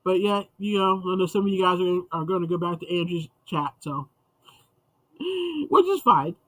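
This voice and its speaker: A man's voice: high-pitched, expressive, moderate pace, a bit bright, dry recording, rough recording. A man hosting a casual livestream chat, rambling and chatty with frequent restarts, sounding congested and sniffly. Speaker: livestream host.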